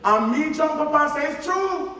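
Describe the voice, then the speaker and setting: A man on stage playing a chatty, excitable Jamaican farm worker in a comic pantomime, exclamatory and folksy with a Jamaican accent. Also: very high-pitched, normal speed, heavily acted. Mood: aggrieved storytelling. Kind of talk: broad comic stage-play dialogue in patois. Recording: echoey theatre from far off, audience noise.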